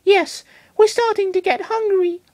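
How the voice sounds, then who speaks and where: A man voicing a young male Pokemon fighter in a shrill, eager voice. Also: steady tone, unhurried, darkish tone, no room sound. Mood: excited.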